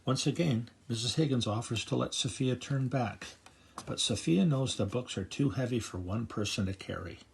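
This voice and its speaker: An older man reading a children's picture book aloud in a gentle, grandfatherly storytelling manner. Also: medium pitch, normal speed, gravelly, husky. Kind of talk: children's read-aloud narration.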